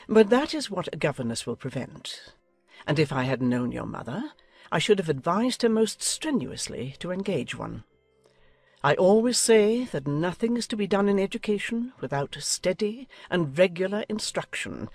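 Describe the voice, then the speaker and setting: A woman's voice: on the low side, darkish tone, no room sound, heavily acted. A woman playing an imperious, nosy older noblewoman in a dramatized audiobook, haughty and decisive. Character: imperious, nosy older aristocratic English lady.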